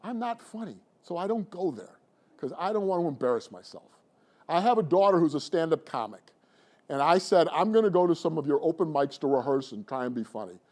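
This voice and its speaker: A middle-aged man coaching public speaking, talking to a class in an animated, conversational way. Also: fairly high pitch, brisk. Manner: animated and conversational, addressing the room. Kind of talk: presentation to a class.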